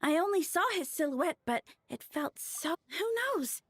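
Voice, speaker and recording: Woman as a high-voiced, lovestruck flower girl. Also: moderate pace, dry recording, close to an everyday voice. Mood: wistful. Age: young adult. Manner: dreamy, hesitant, flustered. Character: lovestruck, flustered young flower-shop girl, dreamy. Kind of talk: video game character voice acting. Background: game music.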